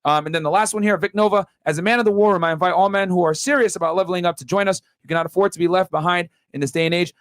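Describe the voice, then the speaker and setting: A man hosting a podcast, casually reading out listener messages and thanking senders in slangy, bro-heavy shout-outs. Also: high voice, fairly even intonation, very fast, hardly any pauses, no echo, studio quality. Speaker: podcast host.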